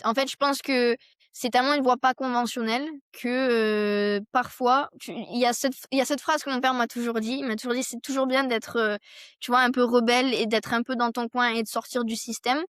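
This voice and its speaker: Young woman, higher-pitched, close dry sound. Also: fairly even intonation, a little fast, a bit bright, clean tone, no echo. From France. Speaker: podcast guest, entrepreneur. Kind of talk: casual conversational interview answers.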